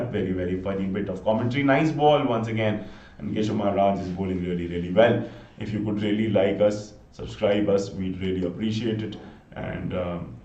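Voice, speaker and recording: A man from India, Hindi his first language, speaking English with an Indian accent as he gives chatty solo live cricket commentary, bursting into excited exclamations and repeatedly pleading for subscriptions. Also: on the low side. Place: home room, bundled up against the cold. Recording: echoey room, distant mic, dull lo-fi sound.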